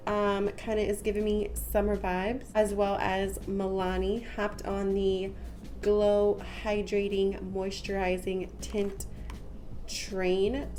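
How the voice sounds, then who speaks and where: A woman's voice: mid-range voice, a little mellow, slight background noise. A young woman with a congested, stuffy voice, chatting casually through a makeup tutorial with lots of "um" and "like" fillers. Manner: chatty, rambling, with many "um" and "like" fillers. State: congested from a cold. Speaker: beauty vlogger. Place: small room at home, patterned wallpaper behind. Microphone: camera at close range, head and shoulders.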